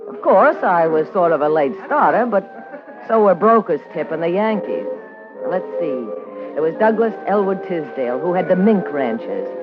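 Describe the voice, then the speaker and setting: A woman's voice: on the low side, sing-song, moderate pace. An actress with an American accent playing a hard-boiled, wisecracking party girl and blackmailer in a vintage radio drama, with a tough, sardonic manner. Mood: wry reminiscing. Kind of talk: 1950s radio noir acting, narration and dialogue. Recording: muffled vintage radio recording with music.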